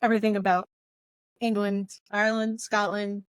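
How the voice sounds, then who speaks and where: A woman's voice: medium pitch, little pitch movement, moderate pace, clear, resonant tone, dry recording, clean studio sound. A middle-aged woman co-hosting a book podcast, chatting casually and repeating short phrases for emphasis. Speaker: podcast co-host.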